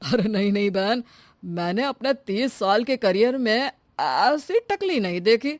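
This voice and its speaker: A woman's voice: medium pitch, expressive, unhurried, no room sound, close to an everyday voice. Woman playing a blunt, drawling male doctor. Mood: astonished. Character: blunt, baffled male doctor of thirty years' career.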